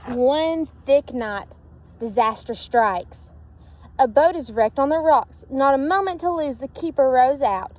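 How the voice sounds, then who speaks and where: A woman's voice: high voice, measured pace. A woman reading a children's picture book aloud expressively, with sing-song repeats and questions to her listeners. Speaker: storybook reader. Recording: steady background noise.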